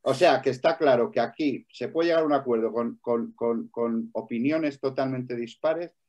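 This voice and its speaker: A man argues vehemently in a debate, hammering the same points and cutting across others. His voice sits in an ordinary mid pitch. The sound is dull and lo-fi. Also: normal speed, a little mellow. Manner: vehement, repeats points, talks over others. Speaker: debate participant. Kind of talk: heated debate. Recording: dull lo-fi recording.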